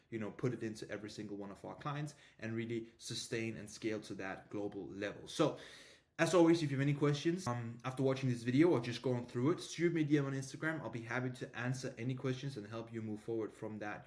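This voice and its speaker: A man's voice: mid-range voice, a little mellow. A man giving an informal tutorial as a marketing coach, explaining things with frequent filler phrases and repetition. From Denmark. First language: Danish.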